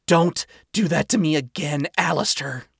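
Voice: medium pitch, normal speed, gravelly, fairly bright tone, slightly rough, no echo. Narrator doing young princess gal, shaken but defiant, earnest plaintive audiobook acting, dry close sound. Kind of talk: acted audiobook dialogue.

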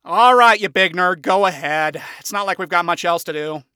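A man voicing a brash, crude male imp who talks loudly and casually in slang. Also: high-pitched, moderate pace, smooth, no room sound, studio quality, pitched above the performer's own voice. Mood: teasing.

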